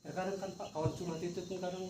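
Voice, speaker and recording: A man's voice: high-pitched, little pitch movement, rapid-fire. A male teacher with a North Sumatran Indonesian accent talks informally in a coaching chat, hesitating and repeating phrases. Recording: distant echoey noisy recording, insect chirps.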